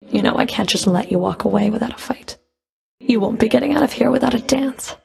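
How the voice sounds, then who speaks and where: Stern, creaky-voiced underworld Fury. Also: female voice, mid-range voice, darkish tone. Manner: cool, clipped, commanding, taunting. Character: stern, sardonic underworld Fury woman, menacing and flirtatious. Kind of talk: video game character voice lines.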